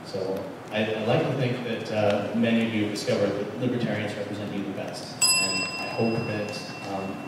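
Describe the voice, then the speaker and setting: Halting young man with low voice. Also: level tone. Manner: halting, many fillers. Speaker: political candidate. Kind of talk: closing statement at a candidates debate. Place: large banquet hall. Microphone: handheld mic. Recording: echoey hall from far off, background noise.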